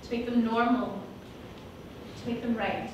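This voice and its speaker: Actress as a distant, echoey, dramatic villainess. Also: female voice, on the high side, fairly even intonation, unhurried, lightly acted. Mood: wounded, confessional. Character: self-justifying female villain who disowned her Mexican heritage. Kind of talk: theatrical monologue in a comic stage musical. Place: small indoor theatre stage, far camera. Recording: echoey stage from far off, audience noise.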